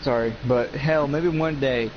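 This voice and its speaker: A man's voice: mid-range voice, a little slow. An eighteen-year-old man chatting casually as a gaming co-host, earnest and emphatic, often repeating himself. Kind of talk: casual chat over gameplay. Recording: noisy, muffled lo-fi mic.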